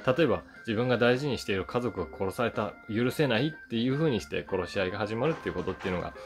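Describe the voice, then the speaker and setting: A man in his forties chatting casually through a movie review in an animated, conversational storytelling way. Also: fairly low pitch, rapid-fire. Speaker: film reviewer, voice actor. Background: soft guitar music.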